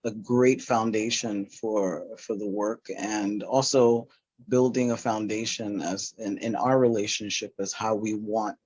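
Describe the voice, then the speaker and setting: A man speaking on a panel talk as a nonprofit founder, halting, with many fillers and restarts. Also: fairly low pitch, steady tone, a little mellow, breathy edge, no room sound, dull audio.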